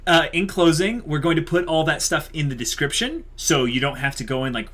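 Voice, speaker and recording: A man's voice: a little high, expressive, fairly quick, fairly bright tone. A man co-hosting an online channel, explaining things informally in casual chat to viewers.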